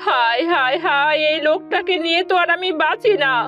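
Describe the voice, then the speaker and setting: A woman playing a sharp-tongued, exasperated farmer's wife in a cartoon, scolding and complaining, in dull lo-fi sound. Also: very high voice, brisk, over music. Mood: exasperated wailing. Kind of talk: cartoon voice acting.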